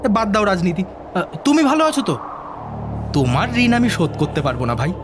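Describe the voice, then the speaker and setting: A man playing a nervous, haunted young scientist in an audio drama, agitated and high-strung. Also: very animated, a little fast, background noise. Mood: nervous rambling.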